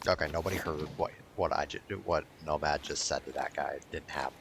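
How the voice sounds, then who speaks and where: Male gamer, deep creaky voice, casual rambling commentary, jokey, sweary, light background noise. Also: fairly even intonation, fast talker, a bit bright. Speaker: gaming YouTuber.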